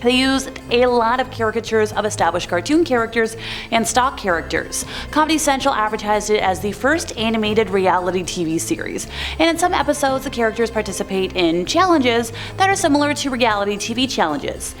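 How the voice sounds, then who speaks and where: Woman doing a chatty scripted voiceover, kinda high voice, dry little jokes, soft music behind. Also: lively intonation, brisk. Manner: chatty and wry, with sarcastic asides. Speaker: online video narrator. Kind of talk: casual scripted commentary.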